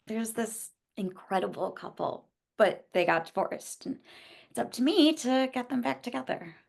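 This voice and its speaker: Actress doing earnest hesitant young woman, table read, lots of vocal fry, very animated pitch. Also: normal speed. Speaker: actor at a script table read. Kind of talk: naturalistic screenplay reading.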